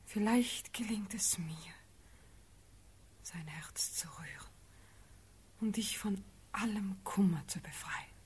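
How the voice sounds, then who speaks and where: An actress playing a tender, loyal and resolute young noblewoman in a solemn classical verse radio drama, with measured delivery. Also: medium pitch, darkish tone, husky.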